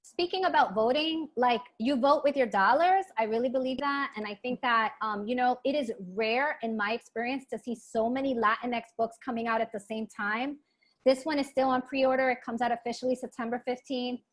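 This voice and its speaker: Woman hosting an online book chat, kinda high voice, earnest and informal. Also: fairly quick. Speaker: event moderator. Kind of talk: casual book club discussion. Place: online video event.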